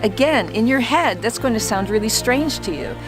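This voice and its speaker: Calm woman coaching public speaking. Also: on the high side, normal speed, over music. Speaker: former trial lawyer. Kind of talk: instructional talk to camera.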